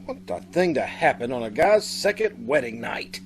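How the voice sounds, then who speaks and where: A man's voice: medium pitch, very animated, moderate pace, gravelly. A man playing a fretful, gushing newlywed husband in an old-time radio comedy-mystery. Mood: worried. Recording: faint background noise.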